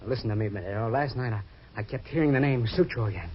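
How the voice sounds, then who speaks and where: An actor playing an anxious retired ex-fighter who stammers and pleads, in 1940s radio acting. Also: male voice, mid-range voice, fast, a little hiss or hum, lightly acted. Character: anxious retired ex-fighter, plump, haunted by a name. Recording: dull old radio recording.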